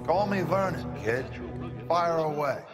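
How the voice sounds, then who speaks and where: A man's voice: a little high, lively intonation, a little slow, over music. A man playing a brash, slippery head of security in a video game, talking in a cocky, slangy way. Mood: cocky, evasive. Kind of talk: video game cutscene acting.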